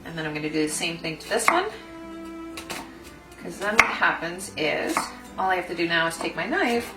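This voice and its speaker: A woman's voice: fairly low pitch, expressive, moderate pace. A woman hosting a cooking demonstration, explaining the recipe step by step in an instructional way. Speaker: food designer and cooking host. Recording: roomy echo, distant mic, music behind.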